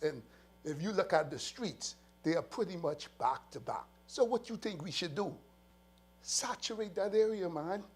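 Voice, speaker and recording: A man's voice: high voice, gravelly, darkish tone. A Bahamian man, a police official, giving an earnest, emphatic press briefing as he walks through slides. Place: press conference room.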